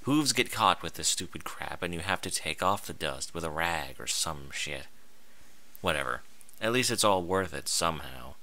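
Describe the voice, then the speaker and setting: A man's voice: deep voice, pushed and bright. A man voicing a weary, foul-mouthed female pony traveler who grumbles irritably in an audio story.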